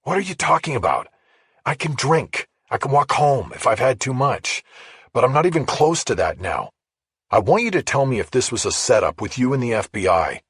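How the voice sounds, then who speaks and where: Guy reading as a pushy suspicious reporter man, blunt questions, rough husky voice, audiobook thriller. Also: medium pitch, very animated, moderate pace, fairly bright tone, dry recording, clean studio sound, voice pushed up, full character voice. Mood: accusing, heated. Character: suspicious, pressing male investigative journalist.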